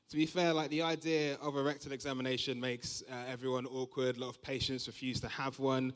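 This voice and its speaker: A man's voice: medium pitch, little pitch movement, a little fast. A young man with a London accent doing live stand-up, telling observational anecdotes conversationally and acting out quoted remarks. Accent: London English. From Southeast London, England. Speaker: stand-up comedian. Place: large comedy venue, on stage. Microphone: stage microphone. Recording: reverberant hall, live stage microphone.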